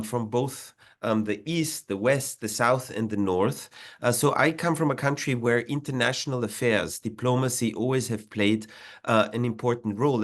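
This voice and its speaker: Austrian guy giving a talk on a video call, lots of uhs, middle pitch. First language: German. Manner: hesitant, many "uh" fillers. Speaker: diplomat giving a webinar talk.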